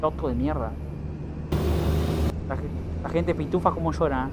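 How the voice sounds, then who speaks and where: A man's voice: fairly high pitch, measured pace, heavy background noise. An Argentine man with an Argentine accent giving casual live commentary while streaming a driving game, chatting with viewers and swearing now and then.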